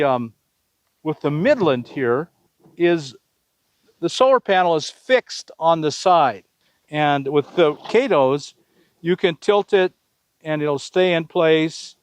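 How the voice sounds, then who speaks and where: Guy doing a casual gear review, kinda high voice, super dry sound, plain-spoken. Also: no echo. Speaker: video host reviewing products. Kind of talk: casual product review talk to camera.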